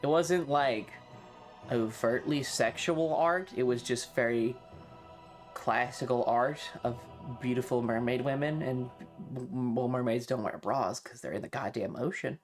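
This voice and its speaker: A man chatting casually on a livestream, rambling and halting, swearing freely as he talks. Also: medium pitch, soft background music. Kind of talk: casual rambling stream chat.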